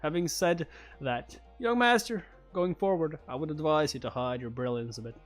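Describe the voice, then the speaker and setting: A man's voice: a little high, moderate pace, faint music behind, lightly acted. Man reading as a courteous, shrewd old merchant. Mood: cautioning.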